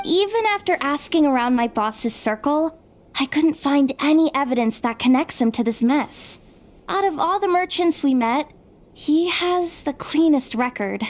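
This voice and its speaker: Dry, self-doubting young detective gal, high voice, takes her time, slight hiss. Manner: wry, grumbling, slow-spoken. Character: sardonic young woman investigator, self-doubting. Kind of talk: English game dub. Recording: faint background noise.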